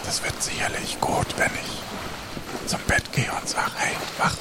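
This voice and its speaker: A man playing a sneaky pirate crewman who talks in a breathy whisper. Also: measured pace, natural voice.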